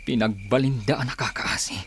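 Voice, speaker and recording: A grave, mid-pitched male voice for a brooding man bent on revenge, performed by an actor in a radio drama. He speaks dramatically over faint noise and crickets. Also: a little fast, natural voice. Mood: bitter. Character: brooding, aggrieved young man seeking revenge. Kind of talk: Ilocano radio drama acting.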